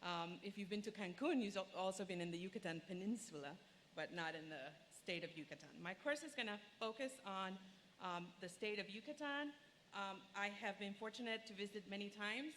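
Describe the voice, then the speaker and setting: Bright-voiced woman, native Spanish speaker. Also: mid-range voice, fairly quick. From Venezuela. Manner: friendly, enthusiastic, laughs easily. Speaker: course instructor. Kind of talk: class preview talk. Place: lecture hall, in front of audience. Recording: long hall reverb, faint room noise.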